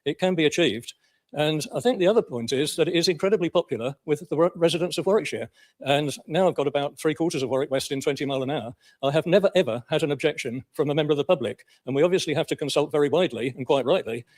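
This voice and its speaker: Brisk English councillor, slightly high male voice. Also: darkish tone. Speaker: county councillor. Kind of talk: formal council debate speech.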